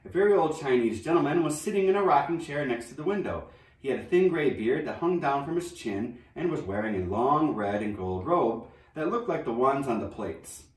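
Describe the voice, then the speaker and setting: A man reading a children's novel aloud in an expressive storybook narration style. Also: mid-range voice, normal speed. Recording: roomy echo, distant microphone.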